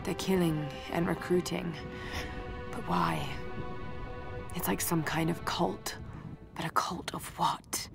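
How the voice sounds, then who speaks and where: An actress with a British accent playing a young shipwrecked archaeologist, anxious but determined, in a hesitant, introspective video game journal. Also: female voice, fairly low pitch, fairly even intonation, slow pace, vocal fry, long pauses, over music. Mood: anxious, shaken.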